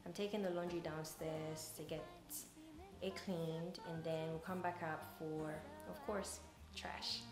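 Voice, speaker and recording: A woman's voice: low-pitched, fairly even intonation, unhurried. A woman in her twenties from Ghana chatting casually to camera as a vlogger, recorded indoors. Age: 20s. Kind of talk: casual vlog chat to camera. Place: indoor living room, kitchen and bedroom. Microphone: camera a few feet away. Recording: echoey room with music behind.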